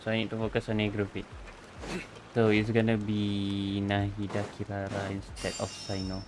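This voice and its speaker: A man's voice: fairly low pitch, monotone, normal speed, background noise. A man giving casual live gameplay commentary as a streamer, thinking aloud in short bursts. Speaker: VTuber streamer playing a game. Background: game music.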